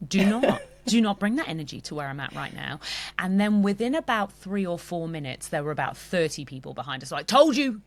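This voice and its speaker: This is an Australian woman hosting a podcast, her voice a little croaky and worn today. She talks in a bubbly, rambling way, jumping from one story to the next. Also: on the low side, sing-song, fairly quick, dry recording. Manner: bubbly, chatty, breaks into song. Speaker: podcast host. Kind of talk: casual chat.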